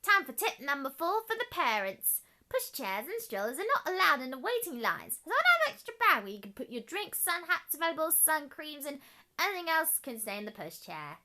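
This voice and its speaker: A woman's voice: high-pitched, wildly swooping pitch, a little fast, pushed and bright. A girlish female presenter giving a scripted promotional tour as a junior reporter, in a perky, exclamatory way.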